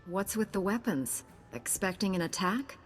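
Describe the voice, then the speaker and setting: An actress playing a calm, resolute and compassionate female space knight in a video game. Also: medium pitch, moderate pace, soft background music, close to an everyday voice.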